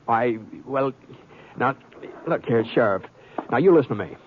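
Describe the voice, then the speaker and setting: An actor playing an honest, indignant Western gunslinger with a hesitant, folksy drawling delivery in a 1950s radio western. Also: male voice, mid-range voice, lively intonation, moderate pace, narrow, tinny audio, slight background noise, natural voice. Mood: flustered reasoning. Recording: thin, band-limited old radio recording.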